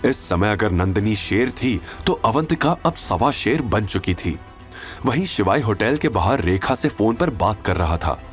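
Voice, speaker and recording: A man's voice: on the low side, lively intonation, measured pace, soft background music. A man narrating a scripted audio drama in a dramatic storytelling style.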